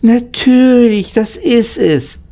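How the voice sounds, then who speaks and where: A man's voice: extremely high pitch, takes it slowly, warm tone, voice pushed up, exaggerated voice. A man reading the part of an easygoing, plain-spoken locomotive driver in a children's storybook. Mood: knowing, amused. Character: easygoing adult male locomotive driver explaining things.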